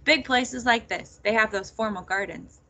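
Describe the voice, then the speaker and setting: A woman's voice: fairly high pitch, normal speed, pushed and bright. A woman voicing a helpful male farmer in a storybook, tentative and folksy. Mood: matter-of-fact.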